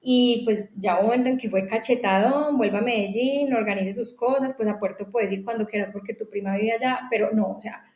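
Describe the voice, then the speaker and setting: A woman with a Colombian accent, a company founder and podcast guest, talking earnestly in a quick casual conversation with many restarts. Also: a little high, steady tone, darkish tone, reverberant.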